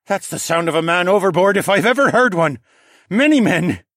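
A man's voice: high voice, expressive, brisk, a bit bright, no room sound, clean studio sound, voice pushed up. A man voicing a bold, theatrical raccoon pirate captain who gives emphatic orders in an audiobook. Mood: urgent. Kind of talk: audiobook character acting.

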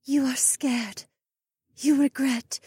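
A man voicing a tearful, dreamy oryx seer woman who speaks in slow, chanting half-whispers. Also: extremely high pitch, little pitch movement, warm tone, no echo, clean studio sound, pitched above the performer's own voice. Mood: entranced.

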